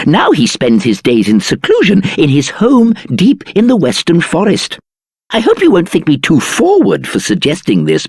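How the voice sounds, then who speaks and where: A man's voice: high-pitched, creaky, breathy edge, no room sound, clean studio sound, exaggerated voice. A man playing a polite, scholarly royal magic expert in a video game, musing in formal phrases.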